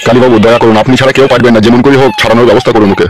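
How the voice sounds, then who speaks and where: A man's voice: medium pitch, level tone, rapid-fire, close to an everyday voice. A man playing a wronged, desperate husband in a comedy cartoon, speaking crudely. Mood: pleading. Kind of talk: amateur comedy cartoon dialogue.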